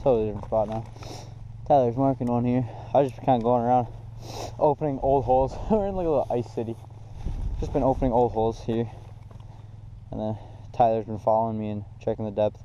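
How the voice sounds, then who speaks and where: A man's voice: mid-range voice, fairly even intonation, fast, mellow, slight background noise. A young man, casually narrating an ice fishing vlog outdoors on a frozen lake, chuckling often. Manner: laid-back, chuckling often. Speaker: fishing vlogger. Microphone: action camera. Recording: muffled outdoor camera audio.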